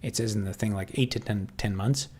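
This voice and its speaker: A man with a Canadian accent chatting casually on a livestream, answering viewers and thinking aloud in halting, restarting sentences. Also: on the low side, darkish tone, slightly rough.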